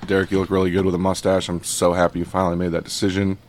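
A man co-hosting a casual podcast, teasing the others with playful deadpan jokes. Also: low-pitched, very fast, noisy background. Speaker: podcast co-host.

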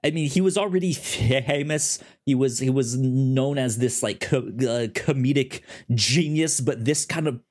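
Halting man chatting on a podcast. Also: mid-range voice, a little mellow. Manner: halting, stammering, repeats words. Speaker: podcast co-host. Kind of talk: casual chat pitching films on a movie podcast.

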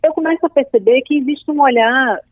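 A woman's voice: high voice, a little fast, dry recording. A young woman from Paraíba with a Northeastern Brazilian accent, speaking thoughtfully as an interview guest and building careful arguments. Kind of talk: reflective conversation. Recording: dry tinny phone-like call audio.

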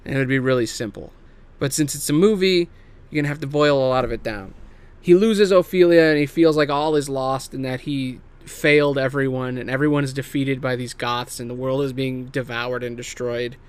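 A man giving a casual, semi-improvised story pitch as a host, emphatic and theatrical, swearing casually and dropping into offhand asides. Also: fairly even intonation, brisk, smooth. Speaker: host pitching video game movie adaptations.

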